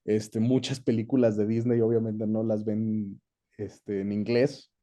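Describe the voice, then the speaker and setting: A man with a Mexican accent giving measured, conversational explanations as an interview guest, often checking in with short tag questions. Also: on the low side, little pitch movement, dark tone. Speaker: interview guest, voice actor and dubbing director.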